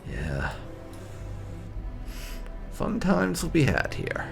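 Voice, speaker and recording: A man's voice: on the high side, huge pitch swings, drawn-out words, gravelly, loud music behind the voice. A man hosting a gaming video, giving casual let's play commentary in a wry, chatty way.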